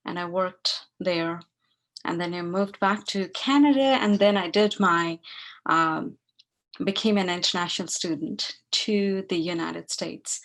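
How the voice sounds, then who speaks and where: Woman from India, librarian, low gravelly voice, hesitant with restarts, video-call audio. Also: fairly bright tone. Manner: hesitant, with many restarts and fillers. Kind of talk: self-introduction on an online panel.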